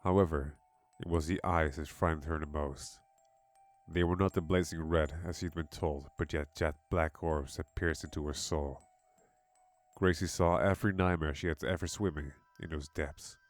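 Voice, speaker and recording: Low-voiced man narrating horror. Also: fairly even intonation, normal speed. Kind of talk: dramatic scripted horror narration. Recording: music behind the voice.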